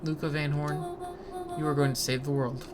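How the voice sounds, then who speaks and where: A woman voicing an aloof, cryptic male creature who explains things in a measured, formal way for a game. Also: low-pitched, flat delivery, noisy background, close to an everyday voice. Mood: cryptic, grave. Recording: loud game music behind.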